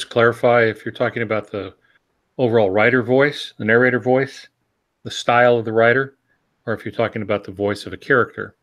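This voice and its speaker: Older man, low voice. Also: fairly bright tone. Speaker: podcast panelist and novelist. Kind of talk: casual panel discussion.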